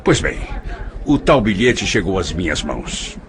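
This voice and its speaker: An actor playing a middle-aged scheming stepfather who feigns fatherly tenderness, smooth-talking and theatrical, with a Brazilian accent in an audio drama. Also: deep voice, big pitch swings, unhurried, heavily acted. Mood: reassuring, conspiring. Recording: clearly noisy with ambience.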